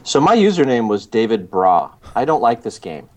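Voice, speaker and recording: A man's voice: medium pitch, big pitch swings, normal speed, slight background noise. A man on a podcast panel, joking in casual chat.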